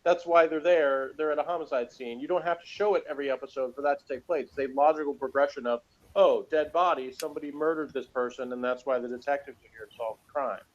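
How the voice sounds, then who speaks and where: Male reviewer, conversational and argumentative, mid-pitched voice on a dull, low-fidelity recording. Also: quick pace. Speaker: podcast reviewer.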